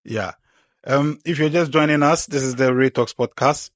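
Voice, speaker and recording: A man hosting a casual podcast, relaxed, trailing off quietly and filling with okays and ums. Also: medium pitch, normal speed, dry recording, studio quality. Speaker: podcast host.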